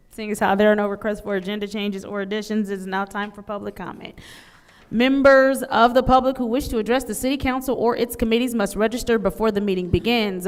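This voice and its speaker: A woman chairing a city council committee meeting, reading procedure in a measured, formal way. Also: mid-range voice, smooth. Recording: long hall reverb.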